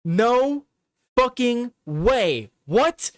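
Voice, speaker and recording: A man's voice: very high voice, very animated, extremely slow, no room sound, clean studio sound. A young man hosting a casual live show, chatty and reactive, swearing and repeating himself as he reads headlines. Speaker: livestream host. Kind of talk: casual live news quiz chat.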